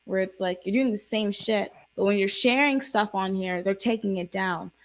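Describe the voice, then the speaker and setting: Gravelly-voiced woman chatting loosely. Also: medium pitch, normal speed. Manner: rambling, lots of fillers and restarts. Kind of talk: casual chat.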